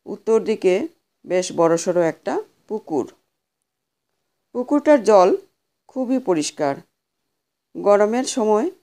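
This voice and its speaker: A woman reads a scripted ghost story in a soft, dark-toned voice. She sits at a middling pitch and delivers the tale in a measured, dramatic way, as an audio storyteller would. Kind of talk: scripted horror story narration.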